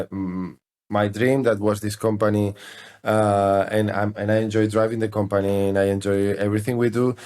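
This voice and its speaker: Halting man with lowish voice. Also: a little mellow. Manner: halting, repeats words while searching for phrasing. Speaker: company CEO, interview guest. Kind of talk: conversational interview answers.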